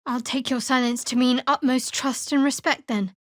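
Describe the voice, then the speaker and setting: A woman playing a guarded, sardonic young cleric of a dark goddess in a fantasy video game, speaking in a dry, measured way. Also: high-pitched, little pitch movement, dry recording. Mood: teasing, sardonic. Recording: dry close studio recording.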